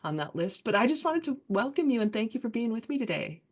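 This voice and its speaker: A woman's voice: a little high, very animated, quick pace. A woman in her sixties hosting a podcast interview, upbeat and welcoming. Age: 60s. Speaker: podcast host.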